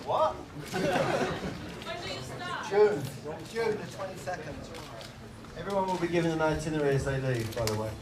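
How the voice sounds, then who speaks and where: A man moderating a press conference, briskly calling on reporters with a joking manner. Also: a little high. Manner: brisk, jokey, calling on questioners. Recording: echoey hall, distant mic, crowd.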